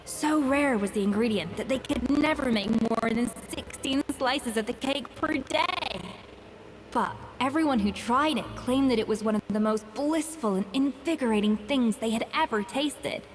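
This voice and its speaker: A woman's voice: on the high side, normal speed, soft background music, close to an everyday voice, rough recording. A woman playing a proud, exacting female chef in a video game, speaking in a formal, precise and blunt way. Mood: reminiscing. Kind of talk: video game voice acting, storytelling.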